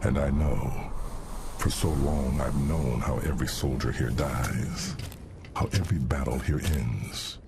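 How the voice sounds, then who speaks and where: An actor playing a weary, guilt-haunted alien commander, a veteran of holy war, narrating in a solemn, brooding, deliberate way with a gravelly voice. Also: male voice, a bass voice, background noise, full character voice. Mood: haunted, somber. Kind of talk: solemn first-person dramatic narration. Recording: loud music behind the voice. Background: loud cinematic music.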